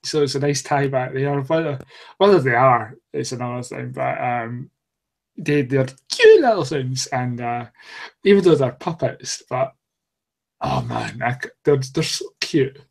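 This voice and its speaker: A man's voice: medium pitch, lively intonation, measured pace, vocal fry. A man co-hosting a podcast, chatting casually in a joking, rambling way. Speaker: podcast co-host. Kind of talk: casual chat.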